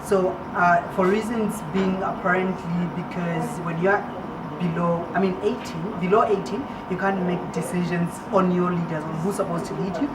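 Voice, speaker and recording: A young woman talks in a friendly, casual way, restarting words as she goes. Her voice is fairly low and sounds far from the mic in an echoey space, with loud noise behind. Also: fairly even intonation, moderate pace. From Botswana. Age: 20s. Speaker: interviewee. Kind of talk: casual testimony.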